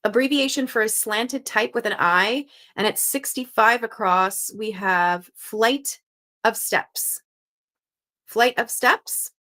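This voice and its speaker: Bright-voiced, chatty Canadian woman host. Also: on the high side, slow. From Canada (Montreal). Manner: upbeat, chatty, thinks out loud. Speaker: host of a live crossword stream. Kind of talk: casual live-stream chat, reading viewer guesses aloud.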